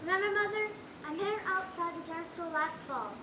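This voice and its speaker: A woman's voice: very high voice, quick pace. A young female performer playing a lovestruck, stubborn young daughter in a school stage play, speaking earnestly and insistently. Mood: hopeful, insistent. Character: lovestruck, stubborn young woman confiding in her mother. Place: stage in a large room, distant microphone. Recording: echoey, noisy, distant stage recording.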